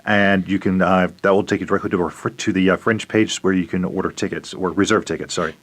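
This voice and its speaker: A man with a fairly low voice speaks as a radio guest from a theater production. He gives out event details in a casual, unscripted way. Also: steady tone, fast, a bit bright. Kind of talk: casual spoken announcement.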